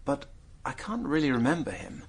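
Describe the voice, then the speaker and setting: An actor playing a down-at-heel, once genteel British village drinker in a radio drama, sardonic, hesitant and defensive. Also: male voice, mid-range voice, big pitch swings, normal speed, slightly rough. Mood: rueful, reminiscing.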